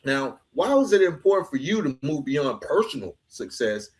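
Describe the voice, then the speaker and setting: A middle-aged man from Atlanta with a somewhat high voice hosts a casual conversational podcast. He talks informally, leaning on "bro" and "you know what I'm saying" as he makes his points. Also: big pitch swings, moderate pace. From Atlanta, United States. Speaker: podcast host.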